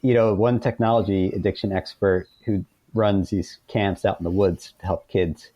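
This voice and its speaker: A man's voice: low-pitched, steady tone, normal speed, no echo, dull audio. A man explaining things conversationally as an interview guest, hesitantly, often repeating words and saying "you know". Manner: hesitant, with frequent 'you know' and repeated words.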